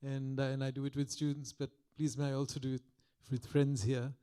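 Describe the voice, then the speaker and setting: Soft-spoken older man lecturing. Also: medium pitch, little pitch movement, fairly quick, clean tone. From Calcutta, India. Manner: soft-spoken, reflective, with frequent restarts. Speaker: guest lecturer. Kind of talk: public lecture. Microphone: podium microphone.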